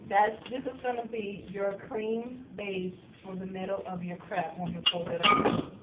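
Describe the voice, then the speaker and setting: Hoarse woman in a tinny kitchen video. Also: medium pitch, flat delivery, measured pace. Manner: chatty, loose, with fillers and restarts. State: slightly hoarse, getting sick. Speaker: cooking show host. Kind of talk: casual cooking demonstration. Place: home kitchen. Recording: distant, tinny and noisy home video.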